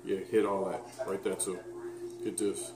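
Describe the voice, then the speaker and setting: A man's voice: low voice, moderate pace. Man in his 30s, casual cooking demo, laid-back and teasing, echoey kitchen, noisy background. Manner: relaxed, teasing, talks straight to viewers. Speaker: fitness vlogger cooking with his daughter. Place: home kitchen, indoors. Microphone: camera mic on the counter.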